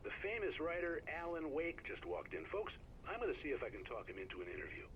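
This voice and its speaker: Muffled radio, chatty small town dj, fast and lively, upbeat game character. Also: male voice, a little high, slight background noise. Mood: chatty, pleased. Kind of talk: radio show patter. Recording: dull lo-fi radio broadcast.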